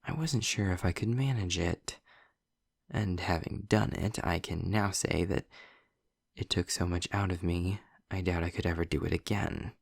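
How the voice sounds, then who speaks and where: Sardonic male demon for audio drama, played by a man: low, husky, snarky, unhurried. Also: no room sound, studio quality, full character voice. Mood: sly, weary. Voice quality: gravelly, husky low voice with breathy edges. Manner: sarcastic, deliberate, drawn-out phrasing. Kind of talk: scripted audio roleplay addressed to the listener.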